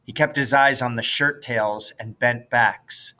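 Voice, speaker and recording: An American man narrates a storybook in a bright, forceful voice of medium pitch. He takes his time, reading at a slow, measured pace. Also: steady tone. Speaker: audiobook reader, teacher.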